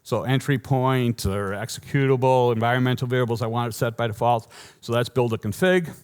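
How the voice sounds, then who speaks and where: A man's voice: medium pitch, fairly quick, clear, resonant tone. Male tech speaker, Boston accent, conversational and wry, mid-range voice, prone to restarts. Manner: lively, joking, rambling with self-corrections. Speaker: conference speaker. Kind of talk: technical conference talk. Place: conference hall before an audience.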